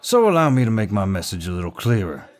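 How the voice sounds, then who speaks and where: A man playing a protective, dominant Southern gentleman in an audio roleplay, speaking politely but firmly with a Southern US drawl. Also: lowish voice, very animated, quick pace. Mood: heated, menacing. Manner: polite, drawling, firm, old-fashioned courtesy. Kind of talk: roleplay audio acting, intimate and scripted.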